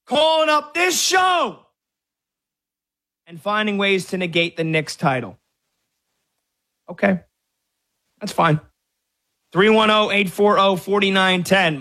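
High-voiced man hosting sports radio. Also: bright, forceful tone. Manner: argumentative, fires off rhetorical questions.

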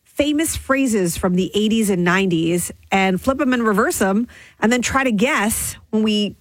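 A woman's voice: medium pitch, normal speed, no echo. A woman co-hosting a morning radio show, giggly and eager in playful banter.

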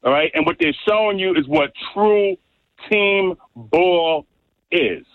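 A man with a thick New York accent rants down a phone line in a high, keyed-up voice. He fires off rhetorical questions and trash talk. The sound is thin, tinny and dry, like a call patched into the studio. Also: very animated, slow pace, vocal fry, dry recording. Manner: brash, trash-talking, emphatic rhetorical questions. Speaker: caller. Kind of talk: passionate sports radio call.

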